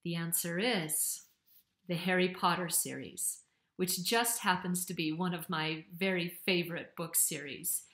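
A woman's voice: on the low side, a little slow, a bit bright. A middle-aged woman, a novelist, giving a prepared talk to camera from a home office. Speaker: historical novelist for young readers. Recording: roomy, echoing home office sound.

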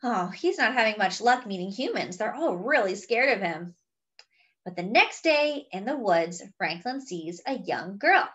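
A woman reading a picture book aloud to young children, with expressive, drawn-out delivery and chatty asides. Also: mid-range voice, highs cut off.

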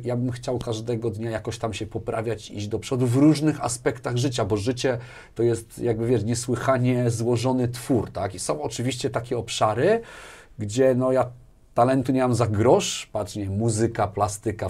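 A man's voice: medium pitch, darkish tone. Conversational man, repeating himself. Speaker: interview guest, business manager. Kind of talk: casual conversational interview. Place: small furnished indoor studio, seated. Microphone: lapel mic.

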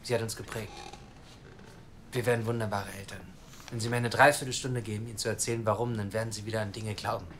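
A man's voice: on the low side, level tone, fast talker, fairly bright tone, slight background noise. Eager, chatty hopeful adoptive father. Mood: earnest, pleading. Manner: eager, talkative, persuasive. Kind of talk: naturalistic audio drama acting.

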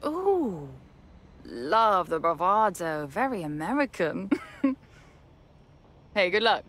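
An actress playing a cheeky, sly smuggler in a video game, with a drawling, sardonic, teasing manner. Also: female voice, lowish voice, sing-song, slow, a bit bright, heavily acted. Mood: wry, teasing. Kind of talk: video game cutscene acting.

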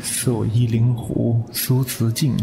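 A man's voice: medium pitch, flat delivery, takes it slowly, gravelly. A man playing a cold, calculating young swordsman in a Chinese wuxia radio drama, terse and matter-of-fact. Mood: cold, composed. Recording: echoey, loud music underneath.

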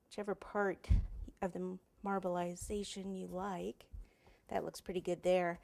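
A woman in her forties teaching cake decorating in a home kitchen, chatty and thinking aloud as she works, recorded on a lapel mic. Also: on the high side. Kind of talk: casual hands-on tutorial.

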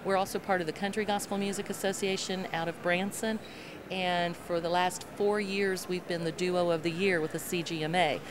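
Low-voiced chatty woman singer. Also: steady tone, normal speed. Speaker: gospel singer. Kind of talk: interview answers. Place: convention hall interview booth. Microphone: handheld mic held by host. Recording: noisy convention hall.

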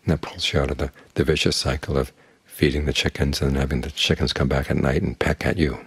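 An older man teaching as a Buddhist monk, his voice very low and strongly husky. He speaks calmly and plainly, and the recording is close and dry. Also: quick pace, no room sound. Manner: calm, plain-spoken, uses homely images. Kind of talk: evening dharma talk.

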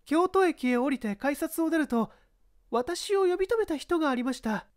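A woman's voice: high voice, expressive, moderate pace, a little mellow, dry recording, clean studio sound. A woman playing a composed young female witness, speaking politely and formally in a dramatized mystery reading. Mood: earnest, explaining. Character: composed young woman giving testimony to police.

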